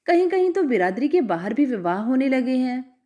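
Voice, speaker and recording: A woman's voice: high voice, big pitch swings, moderate pace, mellow. A woman reading as a defiant young college girl. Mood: timid. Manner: bold, scornful retorts.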